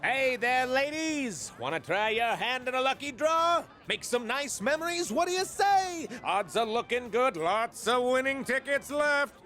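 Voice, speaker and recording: A man's voice: very high voice, lively intonation, a little slow, few pauses, sharp tone, faint music behind, heavily acted. A man playing an eager, chirpy festival lottery barker in a video game, with peppy salesman patter. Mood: eager pitching.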